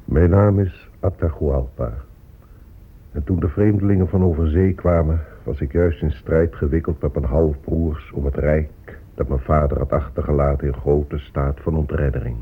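Stately emperor, very deep, grave, radio drama, phone-like sound. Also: male voice, steady tone, normal speed, slightly rough, exaggerated voice. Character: proud Inca emperor and grave chronicler of lost empires. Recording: muffled phone-like old radio play.

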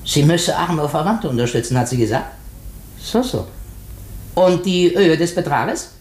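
An actor in a 1950s German radio play playing a shrewd, courteous foreign detective, deliberate and theatrical, dropping in French phrases. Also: male voice, sits high, darkish tone, slight background noise. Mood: polite, animated.

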